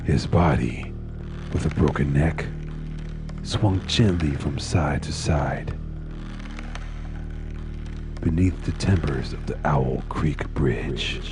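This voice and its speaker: Male narrator, very low register, dramatic and solemn horror storytelling, music bed underneath. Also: slow pace, pauses often.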